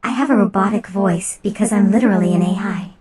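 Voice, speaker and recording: A woman streamer chatting casually with a playful, deadpan, slangy manner. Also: medium pitch, quick pace, a crowd in the background. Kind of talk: casual live stream chat.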